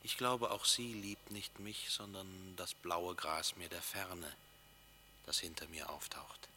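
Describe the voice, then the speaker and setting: An actor playing a brooding, dreamy lover in a German radio play, intimate and lyrical, often close to a whisper. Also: male voice, low-pitched, fairly even intonation, takes it slowly, sharp tone, a little hiss or hum, exaggerated voice. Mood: melancholy, resigned. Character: brooding, dreamy male lover speaking in dark poetic images. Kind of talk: German radio play acting, poetic and hushed.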